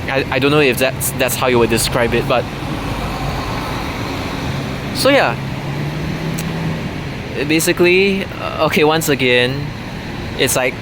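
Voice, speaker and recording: Man, casual vlog monologue, rambling with fillers, mid pitch, clear background hum. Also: measured pace, pauses often. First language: English. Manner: rambling, many fillers and restarts. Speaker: vlogger. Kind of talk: unscripted casual monologue to camera.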